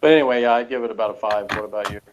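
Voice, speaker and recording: A man's voice: lowish voice, racing, slight background noise. Man in slightly dull, lo-fi review chat. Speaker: co-host of a TV review show. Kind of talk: casual episode review chat.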